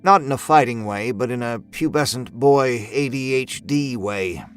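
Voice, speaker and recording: A man narrating a first-person horror story in a measured, brooding, expressive way. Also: medium pitch, clean tone. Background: music score.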